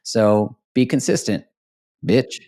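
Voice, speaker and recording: A man's voice: lowish voice, slow, dark tone. A man hosting a podcast, talking in a reflective, casual way, with easy swearing and laughter. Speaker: podcast host.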